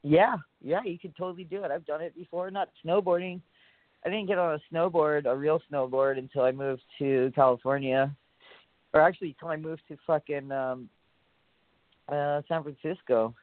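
A man's voice: on the high side, steady tone, moderate pace, dry recording. A man from Hawaii, a musician guest in a casual interview, talking in a loose, rambling way with many fillers and curse words. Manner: laid-back, rambling, lots of fillers and swearing. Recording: dry, phone-like audio.

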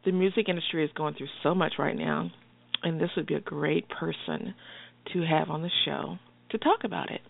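A woman co-hosting a call-in radio show, chatty and expressive, often laughing as she talks. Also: sits low, fairly quick, gravelly. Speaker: radio co-host. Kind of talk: casual radio banter. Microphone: phone line. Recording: phone-line call-in radio, dry.

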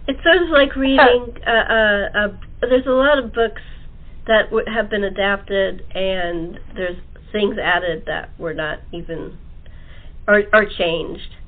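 American female host, chatty and hesitant, mid-range pitch, heard over a thin phone connection. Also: slow pace. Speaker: talk show host. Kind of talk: casual chat interview. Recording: tinny phone-line audio.

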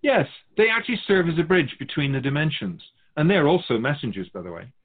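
A man playing a patient, lofty spirit guide who teaches in measured, formal phrases in a scripted audio drama. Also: fairly high pitch, sing-song, narrow, tinny audio. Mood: patient, instructive. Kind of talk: scripted dialogue in a fiction podcast.

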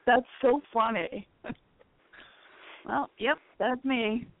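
Woman, fifties, chatty radio caller. Higher voice, thin phone-band sound. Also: slow, no echo. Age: 50s. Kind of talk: casual chat. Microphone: phone call. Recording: tinny narrow-band phone line.

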